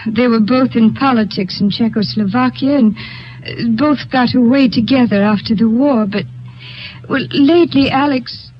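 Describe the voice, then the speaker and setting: A woman's voice: fairly high pitch, normal speed, natural voice. An actress playing a young European émigré woman in a 1950s radio drama, speaking formally and carefully, with polite hesitations. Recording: dull old radio broadcast.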